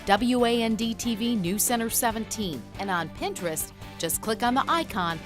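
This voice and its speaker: A woman's voice: on the high side, expressive, normal speed. Female promo announcer over music.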